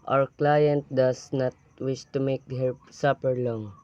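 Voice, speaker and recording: A man's voice: mid-range voice, steady tone, slow pace, a little mellow, close to an everyday voice. Man acting a muffled, businesslike crime boss. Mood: cold, businesslike. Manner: businesslike, instructing. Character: cold-blooded criminal boss giving murder orders. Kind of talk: amateur radio play acting. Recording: dull, lo-fi audio.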